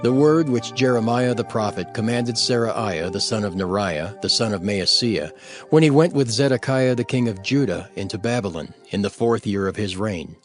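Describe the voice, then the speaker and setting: Music sits clearly behind a male narrator with a mid-pitched voice. He reads scripture solemnly, in measured phrases with deliberate breaks between them.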